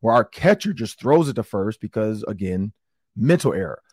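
A middle-aged man with an Upper Midwest American accent hosts a sports talk podcast, his voice hoarse and raspy from yelling, and he tells stories in a rambling, excitable way. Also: lowish voice, moderate pace, no echo, studio quality. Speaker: sports talk show host. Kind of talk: casual podcast chat.

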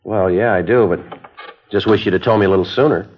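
An actor playing a cool American undercover police detective in 1950s radio drama, with a clipped, understated manner. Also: male voice, lowish voice, fast talker, a little hiss or hum, close to an everyday voice. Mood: guarded, casual. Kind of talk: 1950s radio drama acting and terse narration. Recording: dull 1950s radio recording.

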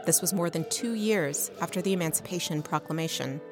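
A woman's voice: on the low side, brisk, soft background music. A woman reporter reading a scripted radio news feature.

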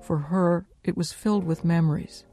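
A woman's voice: on the low side, fairly even intonation, normal speed, warm tone. A woman presenting a scripted radio documentary as host and narrator. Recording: music playing underneath. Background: music.

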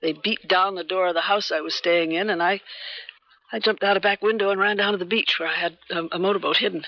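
An actress playing a poised, determined government agent in a 1970s radio drama, cool and assertive. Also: female voice, on the low side, steady tone, quick pace, no echo, lightly acted. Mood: urgent. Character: poised, determined female American government agent, persuasive and secretive. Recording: dull 1970s radio broadcast recording.

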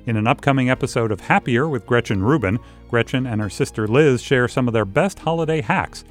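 A man's voice: medium pitch, fairly quick, soft background music. Upbeat male promo announcer. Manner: upbeat, even announcer delivery. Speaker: network host. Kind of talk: scripted promo narration.

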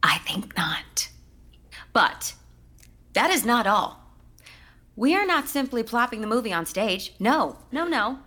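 A woman's voice: on the high side, sing-song, slow pace, pauses often, sharp tone, exaggerated voice. An actress playing an effusive, pretentious musical director addressing her cast in a scripted comedy. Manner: effusive, theatrical, preachy. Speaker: theater director.

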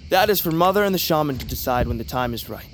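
A man's voice: fairly high pitch, animated, fast talker, lightly acted. Man playing a teasing young tribesman. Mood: reassuring. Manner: teasing and playful, gentle with his sister. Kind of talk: audio drama acting. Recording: noisy ambience bed.

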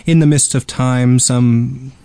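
A man's voice: mid-range voice, fairly even intonation, mellow, clear, resonant tone, dry recording. Mock-solemn man, dry close sound. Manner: mock-solemn, halting dramatic pauses. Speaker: podcast co-host. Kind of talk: casual gaming podcast banter.

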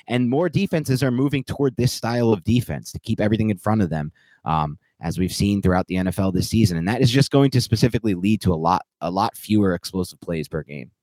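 A man's voice: on the low side, darkish tone, no room sound. A man co-hosting a casual sports podcast, explaining plays and picks in detail and working through long lists. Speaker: podcast co-host.

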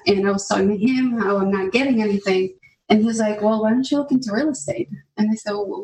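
A woman's voice: mid-range voice, darkish tone. A woman from Albania, chatting casually as a podcast guest with fillers and easy laughter. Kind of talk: casual interview chat. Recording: roomy, distant mic.

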